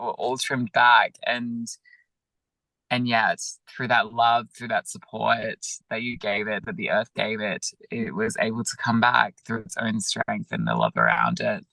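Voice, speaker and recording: Gravelly bright-voiced man, gently reflective. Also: medium pitch, dry recording, poor audio. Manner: gentle, searching, many fillers. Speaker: yoga teacher, guest. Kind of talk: reflective interview talk.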